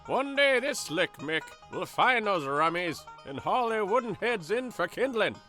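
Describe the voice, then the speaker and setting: A man's voice: high voice, sing-song, a little slow, pushed and bright, soft background music, exaggerated voice. A man voicing a crooked, bullying small-town deputy with folksy bluster in a horror radio play. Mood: smug, dismissive.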